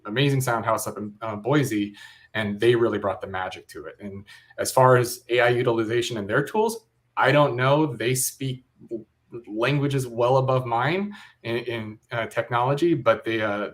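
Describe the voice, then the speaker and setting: A man with a mid-pitched voice gives an interview as a director. He explains things step by step in a loose, informal manner, thinking aloud as he goes. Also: normal speed.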